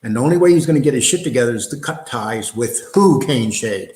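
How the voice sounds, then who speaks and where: Man, mid-pitched voice, livestream host, casual, blunt, combative, roomy sound. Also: animated, fairly quick, a little mellow. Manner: blunt, combative, swearing, streetwise talk. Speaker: show host.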